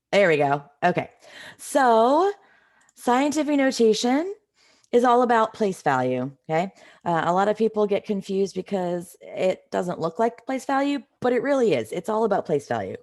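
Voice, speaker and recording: A woman with a slightly raspy voice from a cold, teaching an informal live online math lesson in a conversational way, stressing key points. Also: medium pitch, a little mellow. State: has a cold.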